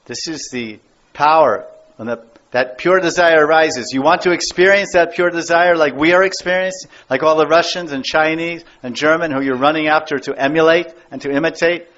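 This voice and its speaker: Emphatic American man, somewhat high voice. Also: normal speed, a bit bright. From United States. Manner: emphatic, repeats key words. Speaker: spiritual teacher. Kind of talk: devotional lecture. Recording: dull lo-fi lecture recording.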